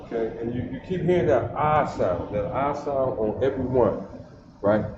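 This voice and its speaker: A man's voice: medium pitch, lively intonation, normal speed. A man teaching letter sounds, reciting syllables one by one and often stopping to check whether his listeners follow. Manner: repeats syllables and keeps checking "you see that?". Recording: echoey, dull, noisy livestream audio.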